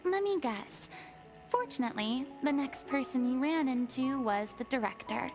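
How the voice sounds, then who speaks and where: Casting: gracious young theatrical lady for anime game, very high voice, polite and articulate. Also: animated, normal speed, darkish tone. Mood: thoughtful. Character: poised, gracious young female performer and theatre consultant. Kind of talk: anime-style game voice acting. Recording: music under the voice.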